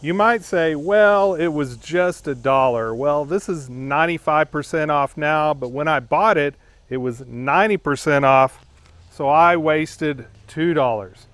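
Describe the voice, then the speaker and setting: Male review host, 50s, smooth clear voice on the higher side, measured delivery. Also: a bit bright. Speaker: host of a product testing channel. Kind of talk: scripted review narration to camera.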